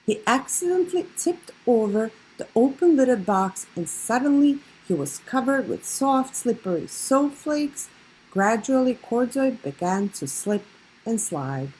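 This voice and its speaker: A woman reads aloud for children in an expressive storytelling style. Her voice has a husky grain and swings widely in pitch, drawing out key words for drama. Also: a little slow, poor audio. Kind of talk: expressive read-aloud narration.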